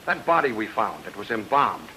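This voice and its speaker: A man's voice: on the low side, big pitch swings, moderate pace, pushed and bright, a little hiss or hum, heavily acted, rough recording. A man playing a brash, blunt lawman with emphatic delivery in an old-time radio noir. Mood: blunt, needling.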